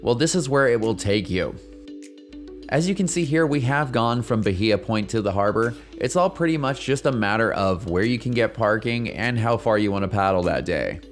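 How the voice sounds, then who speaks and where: Male vlogger, scripted tour narration, animated pitch, light music bed. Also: moderate pace. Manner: friendly, informative tour guide narration. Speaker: kayaking vlogger. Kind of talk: scripted travel voice-over. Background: soft background music.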